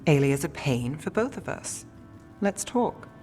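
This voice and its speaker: A woman's voice: low-pitched, very animated, a little slow, darkish tone. A streetwise woman investigator, weary and guarded, in a game. Her delivery is clipped and wry, with long reverb and faint music. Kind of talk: video game voice acting.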